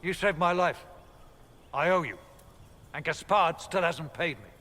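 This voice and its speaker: Indignant, high-voiced mercenary, very animated. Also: male voice, measured pace, in a large hall, full character voice. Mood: grateful, blunt. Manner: blunt, exasperated, swearing. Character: gruff, foul-mouthed Ferelden mercenary captain, indignant. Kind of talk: video game voice acting.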